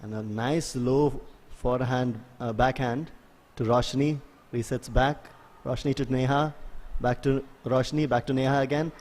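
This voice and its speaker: A man giving live play-by-play sports commentary in a measured, analytical way. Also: medium pitch, steady tone, a little mellow. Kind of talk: live play-by-play commentary of an ultimate frisbee match.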